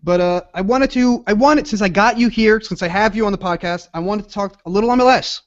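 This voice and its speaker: A man's voice: high voice, a little fast. A man hosting a casual soccer podcast, talking in a loose, rambling way that trails off. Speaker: podcast host. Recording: dull lo-fi podcast audio.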